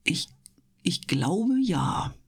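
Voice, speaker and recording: A woman's voice: fairly low pitch, big pitch swings, extremely slow, vocal fry, breathy edge. A woman chatting casually as an interview guest, explaining things at length in a talkative, storytelling way. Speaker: interview guest, voice performer and live reader.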